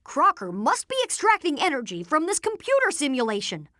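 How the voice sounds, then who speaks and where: Video game boy genius: earnest take-charge inventor, high and very lively, explains things precisely. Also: fairly quick, no echo, clean studio sound, full character voice. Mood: explaining, confident. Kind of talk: animated video game voice acting.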